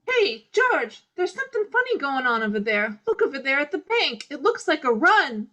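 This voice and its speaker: Radio drama: female actor as a nosy, folksy cabbie, bright, forceful and very animated. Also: high-pitched, normal speed, exaggerated voice. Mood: curious. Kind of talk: amateur radio play acting.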